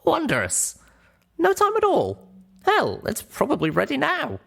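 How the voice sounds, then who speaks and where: A man's voice: extremely high pitch, huge pitch swings, measured pace, gravelly, pushed and bright, voice pushed up, full character voice. A man voicing a young female pony, an earnest, stubborn and anxious heroine, for a storybook reading. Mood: nervous. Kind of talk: audiobook character voice.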